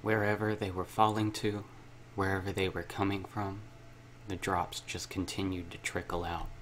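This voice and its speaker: A man's voice: deep voice, flat delivery, normal speed, fairly bright tone, a little hiss or hum. A man hosting a gaming video, reading a visual novel aloud and breaking in with casual, self-interrupting asides.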